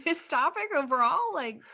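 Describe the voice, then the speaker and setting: A woman's voice: sits high, expressive, unhurried, gravelly, a loud crowd. A woman on a podcast panel, talking casually in discussion.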